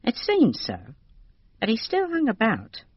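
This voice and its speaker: Husky, gossipy English lady's maid. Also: on the high side, wildly swooping pitch, measured pace, no room sound, dull audio, exaggerated voice. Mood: worried, confiding. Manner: deferential, confiding, colloquial tags. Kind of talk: radio drama acting.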